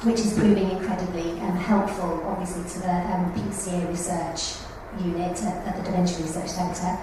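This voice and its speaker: A woman running a support group meeting, giving announcements and introducing a speaker in a measured way with frequent ums. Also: lowish voice, level tone. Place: meeting room, recorded from far off. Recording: echoey room, far mic, noisy.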